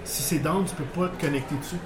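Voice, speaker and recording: A man's voice: on the high side, fast talker, a crowd in the background. A man with a Quebec French accent, telling work stories in a rambling way with many restarts and chuckles, at a bar in front of a live audience. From Portuguese background, living in Quebec. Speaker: guest. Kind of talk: casual storytelling interview. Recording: echoey bar with background noise.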